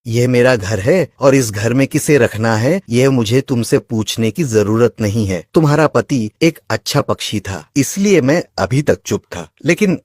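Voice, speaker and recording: A greedy, harsh landlord bird, played by a man in a cartoon story, stern and dismissive. His pitch swings widely, and the sound is close and dry. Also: lively intonation, a little slow, few pauses, a little mellow, no room sound. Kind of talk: Hindi cartoon voice acting.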